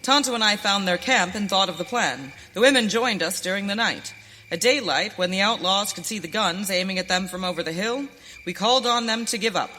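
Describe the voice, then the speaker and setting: An actor playing a calm, righteous, commanding masked western hero in a live radio drama. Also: mid-range voice, a little fast, a little hiss or hum, close to an everyday voice. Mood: calm, assured. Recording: reverberant hall.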